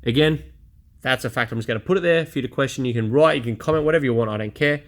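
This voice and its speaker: A man's voice: medium pitch, rapid-fire. Blunt, chatty man in his thirties. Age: 30s. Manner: blunt, chatty, self-deprecating. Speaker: vlogger. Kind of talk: candid personal vlog monologue.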